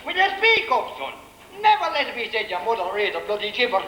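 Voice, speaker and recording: High-voiced man as a saucy Irish panto dame. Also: sing-song, fast talker. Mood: scolding. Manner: cheeky, earthy. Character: brassy, saucy queen, a pantomime dame and mother. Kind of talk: amateur stage pantomime acting. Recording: muffled echoey hall, noisy audience.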